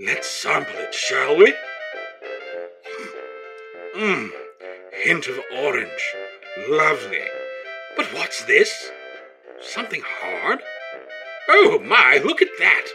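An actor playing a jolly, pompous old-time English radio host, prim and flowery in a mannered comedy of manners. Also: male voice, on the high side, huge pitch swings, takes it slowly, creaky, pauses often, over music, exaggerated voice, low-quality recording. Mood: chatty, pompous.

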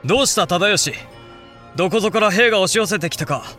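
A man's voice: sits high, quick pace, sharp tone, soft background music. A man playing a blunt young samurai elder brother, speaking formal archaic lines in a period audio drama. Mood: alarmed. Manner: formal archaic speech, firm and frank.

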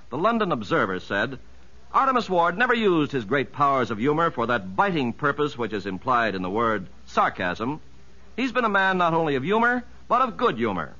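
Male radio announcer, bright forceful voice, highly animated, formal 1950s delivery, muffled broadcast recording. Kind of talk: scripted 1950s radio narration.

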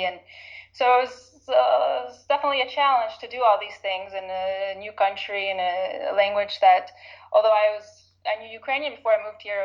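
A woman in her thirties from Chicago, talking reflectively in a casual interview, often pausing with fillers and restarting. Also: mid-range voice. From Chicago, United States. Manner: reflective, thinks aloud with frequent fillers and restarts. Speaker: interview guest. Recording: slightly dull lo-fi audio.

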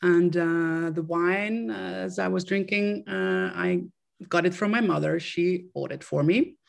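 A Swedish woman in her fifties, speaking English as a second language with a Swedish accent. She talks in a halting way, restarting and repeating words as she tells her story. Her voice has a gravelly, creaky edge. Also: fairly low pitch. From Sweden. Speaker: guest. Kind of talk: casual interview.

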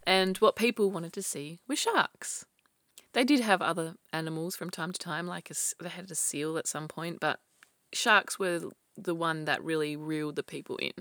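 Slightly croaky woman, chatty storyteller. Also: on the low side, moderate pace, fairly bright tone, no echo, clean studio sound. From Australia. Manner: chatty storyteller, laughs often, restarts sentences. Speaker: podcast co-host telling a true crime story. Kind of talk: casual chat.

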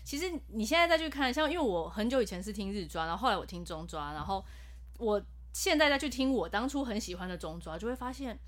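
A woman voice actor talks earnestly in a casual podcast chat. Her pitch rises and falls freely as she explains her view. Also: lively intonation, fast. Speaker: voice actor guest.

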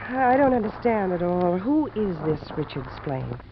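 Through the noise of a muffled vintage broadcast comes a troubled American woman. Her delivery is dramatic and halting, guarded and fatalistic, in fifties radio drama fashion. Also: on the low side, big pitch swings. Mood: guarded, puzzled. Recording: muffled, noisy old-time radio recording.

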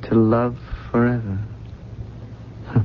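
An actor in a radio drama playing a gentle, detached, wistful man without a past, speaking in a musing, halting way. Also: medium pitch, level tone, natural voice. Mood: wistful, tender. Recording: dull, noisy 1970s radio recording.

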